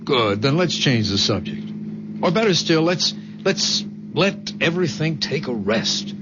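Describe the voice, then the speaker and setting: Exasperated, animated man, old radio drama. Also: on the high side, unhurried. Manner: sardonic, insistent. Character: exasperated, wary, skeptical husband or boyfriend. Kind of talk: vintage-style radio drama acting. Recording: muffled, noisy old radio broadcast.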